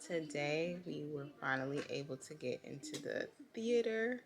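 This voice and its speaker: A woman costume designer talking casually through a vlog, low-key and tired from long late-night work. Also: lively intonation, normal speed, vocal fry. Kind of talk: casual vlog talk and voice-over. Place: theater at night.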